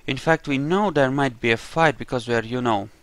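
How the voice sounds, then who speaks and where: A man's voice: medium pitch, normal speed, fairly bright tone, no room sound. A man giving casual let's play commentary on a roleplaying game, thinking aloud in a rambling way as he goes. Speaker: gamer narrating his own roleplay playthrough.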